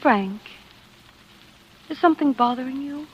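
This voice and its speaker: A woman's voice: sits high, a little slow, a little hiss or hum. An actress playing a passionate, scheming wife in 1940s radio drama style, theatrical in her delivery. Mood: tender. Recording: dull vintage radio recording.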